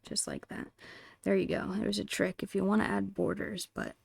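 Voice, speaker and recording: A woman softly narrating her digital art in an ASMR style, murmuring in short, breathy phrases close to the microphone. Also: mid-range voice, wildly swooping pitch, normal speed, no room sound. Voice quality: breathy, whispery. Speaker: digital artist hosting an art channel.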